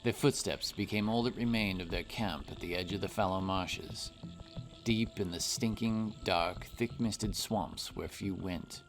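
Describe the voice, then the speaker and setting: A man's voice: deep voice, measured pace, over music. A man giving scripted narration of a fantasy story as its narrator.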